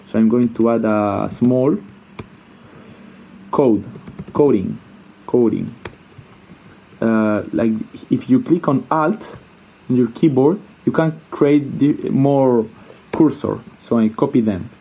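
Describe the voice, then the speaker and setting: Male tutorial presenter, soft mellow tone, informal and hesitant, thinks out loud as he demonstrates. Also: mid-range voice, takes it slowly, gravelly, long pauses, slight background noise, low-quality recording. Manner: informal, hesitant thinking aloud, repeats himself, mild swearing. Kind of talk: casual unscripted screen-share coding tutorial.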